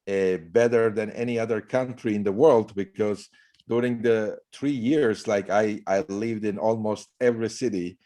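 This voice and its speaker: A middle-aged man from Turkey talks as a guest, his voice sitting fairly low. He rambles loosely, with lots of "you know" and "like" between thoughts. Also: fairly even intonation, moderate pace, dark tone. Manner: loose, rambling with many fillers. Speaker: guest, startup CEO. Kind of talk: casual interview talk.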